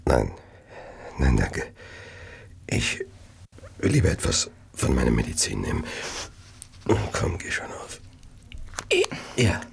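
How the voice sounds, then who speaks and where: An actor in a German radio play voicing a deranged, obsessive murderer with a gravelly, breathy voice and a halting delivery. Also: male voice, rumbling low, wildly swooping pitch, heavily acted. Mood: hushed, eager. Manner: halting, slow, erupting into frenzy. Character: deranged, obsessive Victorian murderer, brooding and confessing.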